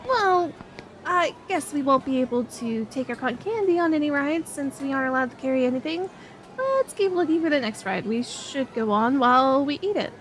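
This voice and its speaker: Young female best friend played by a woman, expressive roleplay style, unhurried, faint noise behind. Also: high voice. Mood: playful, chatty. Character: excitable young woman on an outing with her best friend, sweet and easily discouraged. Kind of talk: ASMR roleplay audio drama addressed to the listener.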